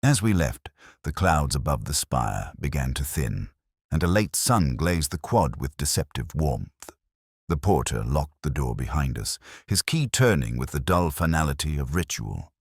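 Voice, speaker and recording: A man reading a literary detective story in the first person, with measured, reflective, melancholy phrasing. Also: extremely low pitch, big pitch swings, slightly rough, no echo, clean studio sound. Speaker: narrator of a detective mystery story.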